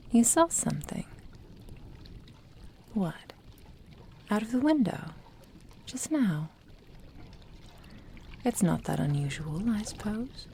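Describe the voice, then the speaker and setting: A doting yandere witch, acted by a woman, whispers slowly in a dark, creaky voice. She is possessive and secretive, soothing and coaxing her beloved as in an intimate ASMR roleplay. Light background noise sits behind her. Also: sits low, full character voice. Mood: guarded.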